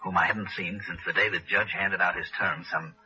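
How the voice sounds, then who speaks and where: An actor playing a hardboiled but decent American insurance investigator in a 1960s noir radio drama. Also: male voice, deep voice, fairly even intonation, brisk, bright, forceful tone. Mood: brisk, wry narration. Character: hardboiled male freelance insurance investigator, brisk yet decent. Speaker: lead actor and narrator. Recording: dull old radio broadcast, music behind.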